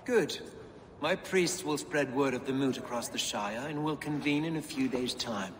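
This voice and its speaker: An actor playing a pompous, pious middle-aged Saxon bishop in a video game, speaking in a formal, measured manner. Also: male voice, medium pitch, big-room sound, crowd noise, natural voice. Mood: formal, persuasive. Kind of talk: video game drama acting.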